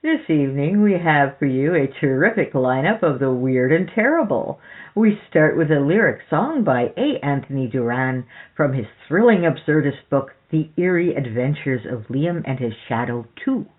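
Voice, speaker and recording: A podcast host giving scripted horror narration in a theatrical, measured storytelling manner. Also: medium pitch, animated. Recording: muffled lo-fi sound under music. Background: background music.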